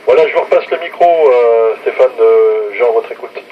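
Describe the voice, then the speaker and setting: A man with a French accent heard over two-way radio, casually and haltingly reporting details as an amateur operator. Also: mid-range voice, muffled recording. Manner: halting, spelling out details phonetically. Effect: two-way radio transmission. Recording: noisy, band-limited radio transmission.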